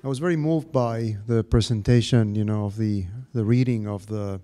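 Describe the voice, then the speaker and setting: Brief: man, Argentine accent, mellow dark voice, halting scholarly audience question. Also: on the low side. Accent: Argentine Spanish. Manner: halting, many fillers and restarts, academic. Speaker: audience member. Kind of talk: question from the floor.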